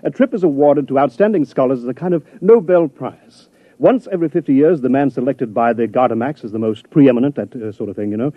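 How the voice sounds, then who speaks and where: A man's voice: medium pitch, quick pace, no echo, lightly acted. A man playing a grandiose, fussy art scholar who has traveled back from the future, in a 1950s radio drama. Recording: muffled old radio broadcast.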